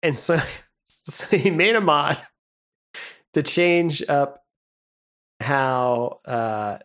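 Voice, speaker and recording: A young man co-hosting a podcast in casual chat, relaxed and rambling, laughing often. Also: a little high, drawn-out words. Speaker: podcast co-host.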